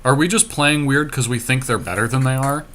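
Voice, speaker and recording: A man's voice: medium pitch, fairly quick, a bit bright, slight background noise. A man co-hosting a podcast, giving casual play-by-play of a card game with dry, teasing banter. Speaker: podcast co-host.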